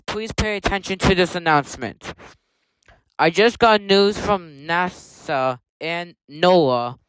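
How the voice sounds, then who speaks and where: A woman's voice: on the low side, clean tone, low-quality recording. A woman delivering scripted emergency alert announcements.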